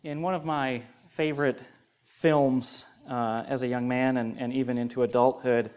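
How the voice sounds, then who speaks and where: A young adult man, an associate pastor delivering a sermon and prayer in an earnest, measured way that grows more emphatic. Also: medium pitch, fairly even intonation, darkish tone, clear, resonant tone.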